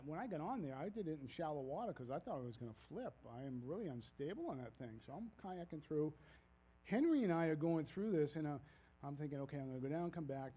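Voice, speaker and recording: A man in his fifties with a Maine accent preaches in a loose, storytelling way, circling back and restarting his sentences. His voice sits a little high yet sounds mellow and warm. He pokes fun at himself as he goes. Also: slight background noise, low-quality recording. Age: 50s. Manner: rambling, folksy, self-deprecating, frequent restarts. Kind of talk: casual sermon storytelling.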